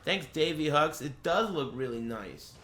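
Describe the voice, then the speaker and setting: A man's voice: a little high, expressive, unhurried, fairly bright tone. A man who streams games, chatting casually and rambling through blunt, crude, joking impressions with plenty of swearing. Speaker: game streamer. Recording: roomy echo.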